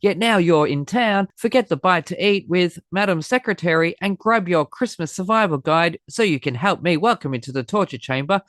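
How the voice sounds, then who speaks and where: A man's voice: high-pitched, lively intonation, fairly bright tone, no echo, studio quality. A man hosting a theater podcast, reeling off theatrical puns and chatting with a guest while losing his voice. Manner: theatrical, rapid-fire wordplay. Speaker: podcast host. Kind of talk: pun-packed scripted introduction and casual interview chat.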